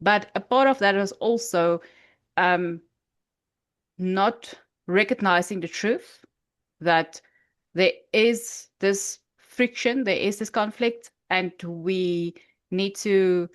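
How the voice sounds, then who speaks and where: A woman's voice: medium pitch, takes it slowly. Hesitant South African Afrikaans woman. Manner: hesitant, many restarts and fillers. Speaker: podcast guest. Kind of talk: casual interview storytelling.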